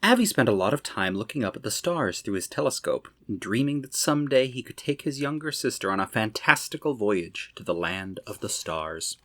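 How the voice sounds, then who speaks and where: A man reading to children as a storyteller, expressive, with dramatic emphasis. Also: fairly low pitch, brisk, hardly any pauses.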